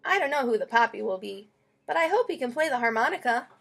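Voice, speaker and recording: A woman reading a children's picture book aloud in an expressive, storytelling way. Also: on the high side, fast talker, vocal fry, breathy edge. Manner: expressive, acts out quoted lines.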